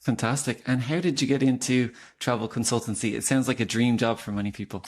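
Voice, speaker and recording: A man's voice: mid-range voice, fairly even intonation, fast talker. A man hosting an interview as a career coach, asking friendly, rambling questions with some fillers. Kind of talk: conversational interview.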